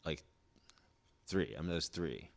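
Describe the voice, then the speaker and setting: A man in his forties with an extremely low, deep voice chats casually as a podcast guest. He rambles in a laid-back way, with lots of "like" and "dude". He grew up in Southern California after being born in Indonesia. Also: animated, unhurried, vocal fry. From born in Indonesia, raised in Southern California. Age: 40s. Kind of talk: casual chat.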